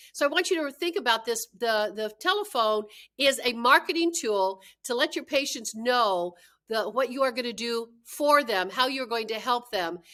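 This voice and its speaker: A woman speaks as a trainer sharing practical advice. Her voice sits on the high side and has a very bright, forceful edge. She is emphatic, underlining key points by restating them. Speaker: dental practice consultant and trainer. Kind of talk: instructional tips talk to camera.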